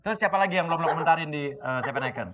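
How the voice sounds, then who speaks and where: A man's voice: fairly high pitch, rapid-fire, fairly bright tone, slight background noise. A man hosting a comedy variety show, teasing and questioning guests with a laughing, playful manner. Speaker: host.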